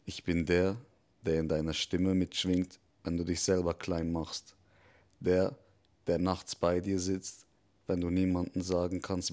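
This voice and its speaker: A cynical devil speaks in a very deep, unhurried voice, as a man acts him out, confiding rather than shouting. He is measured and intimate, with a soft menace under every word. It plays like a scripted dramatic monologue. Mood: hushed, brooding. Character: calm confiding male devil, cynical, mocking.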